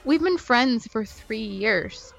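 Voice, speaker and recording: A woman's voice: fairly high pitch, lively intonation, takes it slowly, creaky. A woman in her twenties, a podcast guest, chatting in a reflective, talkative way. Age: 20s. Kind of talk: casual podcast chat. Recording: muffled lo-fi audio with faint music.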